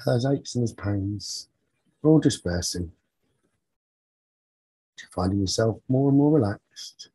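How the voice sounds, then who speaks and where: Very husky, slow man guiding sleep meditation. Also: on the low side, animated, mellow, no room sound, poor audio. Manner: soothing, short phrases with long pauses. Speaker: meditation teacher leading a guided sleep talk-down.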